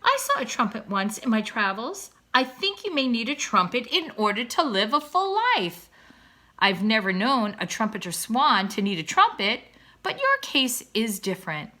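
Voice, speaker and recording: A woman's voice: on the high side, big pitch swings, normal speed, sharp tone, heavily acted. A woman voicing a wordy father swan from a children's storybook, kindly and encouraging, speaking in a formal, emphatic, oratorical way. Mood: solemn, promising. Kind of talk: children's storybook read-aloud.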